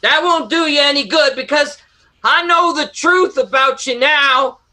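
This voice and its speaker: A man's voice: very high-pitched, a little slow, pushed and bright, exaggerated voice. An actor playing a bitter, anguished young man in a western radio drama, accusing with heavy emphasis. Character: anguished young son bitterly accusing his cruel father.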